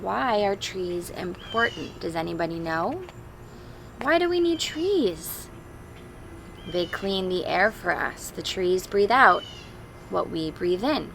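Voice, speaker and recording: Female storybook reader for young children, expressive and chatty, creaky mid-range voice, clear background noise. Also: lowish voice. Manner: expressive, simple explanations and questions for young children. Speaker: teacher reading a picture book aloud to children. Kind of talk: storybook read-aloud with chatty asides to children.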